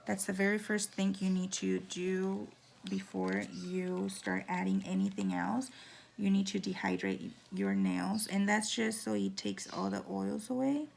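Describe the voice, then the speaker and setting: A woman with a hoarse, raspy voice giving a casual at-home nail how-to, chatty with lots of fillers. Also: medium pitch, unhurried, darkish tone, a little hiss or hum. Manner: chatty, filler-heavy, drops into whispers. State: hoarse from talking. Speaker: nail tutorial vlogger.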